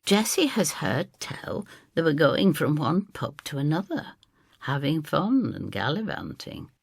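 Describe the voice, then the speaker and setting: A woman's voice: deep voice, big pitch swings, measured pace, creaky, slightly rough, dry recording, clean studio sound, heavily acted. An actress playing a stubborn, loyal, chatty older Northern English woman who tells her story in an audio drama. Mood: wry, confiding. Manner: chatty, gossipy, blunt Northern phrasing.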